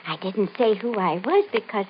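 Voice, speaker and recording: An actress in an old-time radio mystery playing a nervous, insistent, ominous woman with a halting, pleading, melodramatic delivery. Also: medium pitch, sing-song, lightly acted. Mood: uneasy explaining. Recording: muffled, hissy old radio recording.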